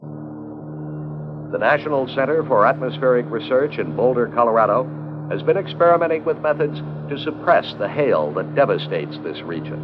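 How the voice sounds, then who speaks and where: A man's voice: medium pitch, very animated, normal speed. An American man delivering scripted narration for an educational film. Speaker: documentary narrator. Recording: dull 1970s 16mm film soundtrack with music. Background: background music.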